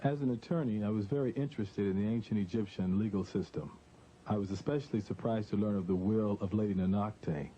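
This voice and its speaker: An American man, a host with a measured, formal way of narrating a scripted documentary and interviewing. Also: lowish voice, warm tone, muffled recording, slight background noise.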